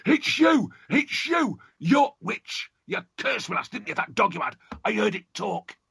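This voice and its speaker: A man voicing an old male peddler, frantic and shouting in broad northern dialect. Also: high voice, very animated, unhurried, gravelly, husky, no echo, clean studio sound, voice pushed up, full character voice. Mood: accusing.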